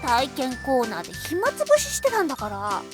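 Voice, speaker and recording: A woman playing a bubbly, excitable, teasing schoolgirl in an anime-style voice drama, gushing and playful. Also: sits high, a little fast, music in the background. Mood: teasing complaint.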